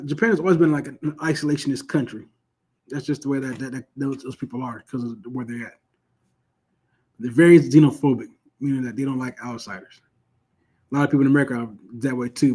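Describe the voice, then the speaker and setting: Husky-voiced man teaching a Bible class. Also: mid-range voice, darkish tone. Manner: conversational, keeps asking "you know what I'm saying"; emphatic, repeats points for stress. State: starting to lose his voice. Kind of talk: informal online Bible lesson.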